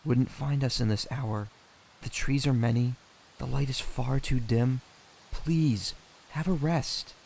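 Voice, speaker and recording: A man acting a weary, gentle young fugitive in a fantasy audio drama, hesitant and pleading. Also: mid-range voice, takes it slowly, gravelly, a little hiss or hum, rough recording.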